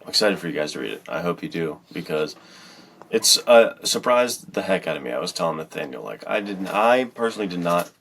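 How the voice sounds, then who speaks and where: A man's voice: low-pitched, lively intonation, a little fast, fairly bright tone, slight background noise. A man co-hosting a podcast as an author, chatting in an informal, enthusiastic way. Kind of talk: casual chat.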